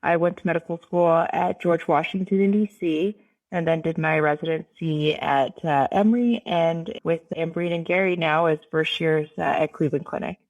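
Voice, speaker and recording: A woman's voice: sits low, steady tone, moderate pace, darkish tone. Woman on muffled, dull audio. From Northern California. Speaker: cardiology fellow.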